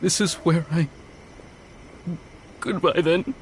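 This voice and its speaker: A man's voice: on the high side, slow pace, slight background noise. An actor playing a resigned, downhearted young man in a video game. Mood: dejected, resigned. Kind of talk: scripted video game dialogue. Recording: prominent music behind.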